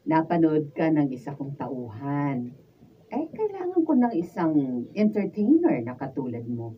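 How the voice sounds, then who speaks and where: Wealthy lady philanthropist, Filipino accent, radio drama, lowish voice, lively pitch, gracious, slightly dull recording. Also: unhurried, distant mic, a little hiss or hum. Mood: gracious, businesslike. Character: wealthy, generous female philanthropist offering work.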